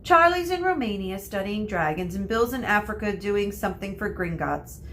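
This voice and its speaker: A woman's voice: mid-range voice, animated, moderate pace, fairly bright tone, a little hiss or hum, lightly acted. A woman voicing a gloomy, plain-spoken young wizard boy who grumbles his way through a storybook. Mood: gossipy.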